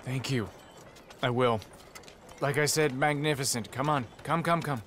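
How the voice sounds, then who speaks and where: An actor playing a kindly, effusive friar who talks eagerly and fusses. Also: male voice, medium pitch, measured pace, fairly bright tone, slight background noise, lightly acted. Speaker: monk. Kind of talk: video game cutscene acting.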